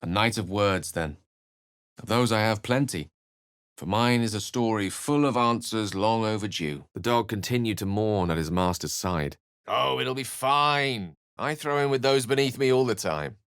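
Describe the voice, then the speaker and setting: A learned, refined wizard from a fantasy video game. His voice is low and closely recorded with a dry sound. He speaks eloquently and with wry polish, measuring out his phrases like a theatrical storyteller. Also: lively intonation, moderate pace, a bit bright, smooth, no room sound. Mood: musing, measured, then conversational, earnest.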